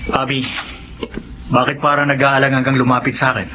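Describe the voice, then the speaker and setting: An actor playing an imperious, stern Filipino preacher and husband in a radio horror drama. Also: male voice, mid-range voice, little pitch movement, brisk, a crowd in the background, close to an everyday voice. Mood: cold, dismissive. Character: stern, imperious self-styled preacher, a married man. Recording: dull radio sound, faint noise.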